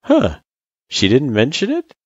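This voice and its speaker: A man's voice: medium pitch, huge pitch swings, unhurried, husky, dry recording, lo-fi sound, voice pushed up, heavily acted. A man voicing a laid-back, teasing, reassuring buddy with a casual, joking delivery in a story reading. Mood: breezy. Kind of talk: character voice in story narration.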